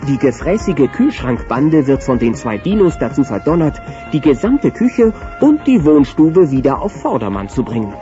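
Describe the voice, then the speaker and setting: A man's voice: mid-range voice, big pitch swings, normal speed, hardly any pauses, close to an everyday voice. A man narrating a scripted children's audio drama about dinosaurs as the storyteller. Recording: dull sound, music underneath.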